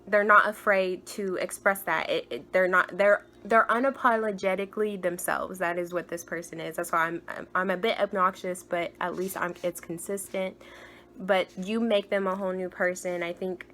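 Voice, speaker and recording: Chatty young woman with a higher voice. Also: fairly even intonation, normal speed. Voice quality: higher-pitched voice. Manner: chatty and gushing, trails off with soft murmured asides. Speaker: tarot reader. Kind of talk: casual tarot reading.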